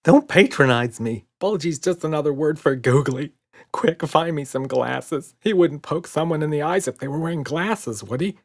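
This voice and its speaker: Frantic, high-pitched little monster. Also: male voice, expressive, normal speed. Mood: panicked. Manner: panicky, gasping and wailing. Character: small, terrified, frantic monster with googly eyes. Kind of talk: children's audiobook character.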